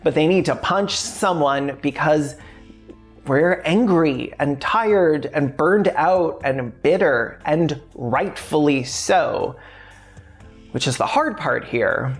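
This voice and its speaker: A woman's voice: deep voice, lively intonation, slow pace, faint music behind. A woman hosting a video essay in a frank, sardonic way, mixing scripted points with casual, sweary asides.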